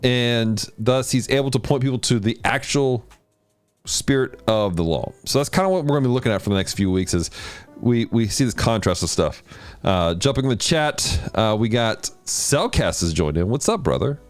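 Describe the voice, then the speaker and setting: A man hosting a livestream of video games and Bible study, chatting casually with viewers and teaching in an animated way. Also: normal speed. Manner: animated, jumps in pitch when greeting chat. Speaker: host of a gaming and Bible study stream.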